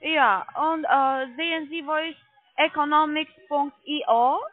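A woman who is not a native speaker, giving a halting telephone sales pitch as a call centre agent, often checking with questions. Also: fairly even intonation, dry recording. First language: not German. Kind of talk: persuasive phone sales pitch. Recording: tinny narrow phone line.

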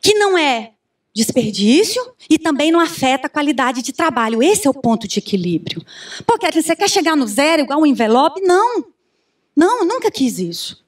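A Brazilian woman in her thirties giving an animated conference talk on a handheld mic, often asking the audience questions. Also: sits high, brisk. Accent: Brazilian Portuguese. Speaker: conference speaker. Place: large conference room with audience. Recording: distant room sound from far camera.

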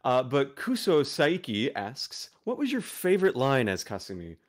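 A man's voice: mid-range voice, huge pitch swings, moderate pace. A man hosting a convention panel, joking and reading out fan questions. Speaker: panel host.

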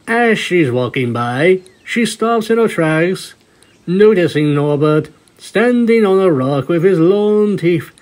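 Slow-paced male storyteller, slightly high voice. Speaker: fanfiction reader, narrator. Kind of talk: scripted storybook narration.

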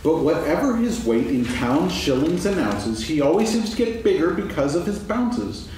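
An actor playing a gentle, simple, thoughtful male bear in a staged reading, with a mild, sing-song way of speaking. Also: a little high, sing-song, normal speed, slight background noise. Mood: reciting. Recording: echoey hall from far off.

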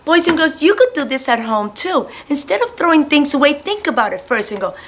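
A woman in her thirties teaching and reading to young children in an animated, simple, questioning way. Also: high-pitched, fairly quick. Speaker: preschool teacher. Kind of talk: lesson and read-aloud for young children.

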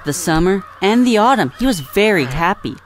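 A man playing a gentle, wise little songbird who tells a story politely and in measured phrases. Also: high-pitched, sing-song, slight background noise. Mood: gentle narration. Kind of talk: audio drama acting and storytelling.

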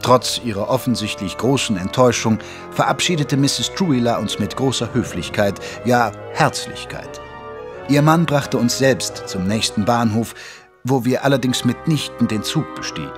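An actor playing a Victorian gentleman doctor who narrates his detective friend's case in measured, literary audio drama style. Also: medium pitch, close to an everyday voice. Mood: measured storytelling. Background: music under the voice.

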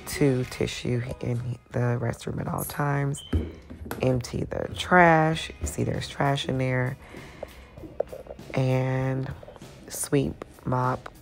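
A woman's voice: a bass voice, gravelly. A woman giving a casual, rambling walkthrough of how she cleans her salon, often losing her train of thought.

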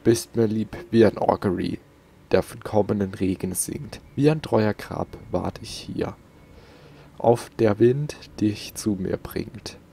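A man's voice: on the low side, creaky, mellow, a little hiss or hum. A man doing casual let's play commentary and reading in-game notes aloud, close to the microphone.

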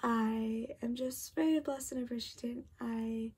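A woman's voice: on the high side, steady tone, measured pace, darkish tone, husky. An eighteen-year-old woman chatting to camera late at night in her bedroom, tired and rambling with lots of "like" and "literally". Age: 18, about to turn 19. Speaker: vlogger. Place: bedroom at home, indoors.

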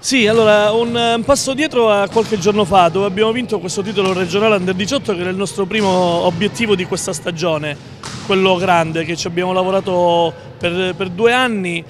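A man in his forties, a volleyball coach giving a halting TV interview and repeating words as he goes. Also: high voice. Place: large indoor sports hall. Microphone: handheld reporter mic. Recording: loud busy gym background noise.

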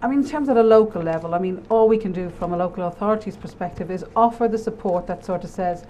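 Middle-aged Irish woman, mellow tone, earnest studio guest, lapel mic with faint noise. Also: on the low side, quick pace, highs cut off. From Ireland. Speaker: local authority guest. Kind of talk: studio discussion.

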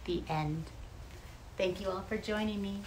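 A middle-aged woman reading a children's picture book expressively, with drawn-out, dramatic phrasing. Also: mid-range voice, a little hiss or hum, poor audio. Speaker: storyteller. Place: outdoors on a paved porch.

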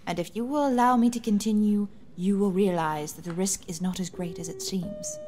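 A woman's voice: medium pitch, normal speed, over music, natural voice. Composed, grave noble rebel leader, acted by a woman. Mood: grave briefing. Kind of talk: science fiction audio drama acting.

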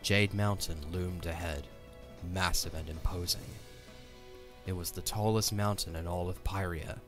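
A man's voice: low-pitched, steady tone, measured pace, a bit bright, soft background music. A man reading scripted story narration for an audio drama.

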